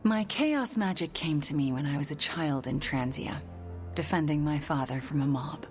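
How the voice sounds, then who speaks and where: A woman playing a guilt-ridden, determined young sorceress in a video game cutscene, speaking softly and earnestly with breathy phrase endings. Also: low-pitched, moderate pace, faint music behind, lightly acted. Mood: reflective.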